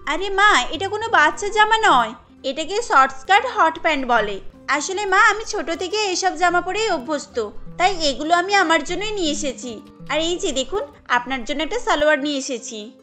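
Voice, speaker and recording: A woman's voice: very high voice, expressive, normal speed, bright, forceful tone, soft background music, exaggerated voice. A woman voicing a modern, outspoken young daughter-in-law in a family cartoon, politely standing her ground. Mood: explaining. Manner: explaining, protesting politely. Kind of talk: cartoon voice acting.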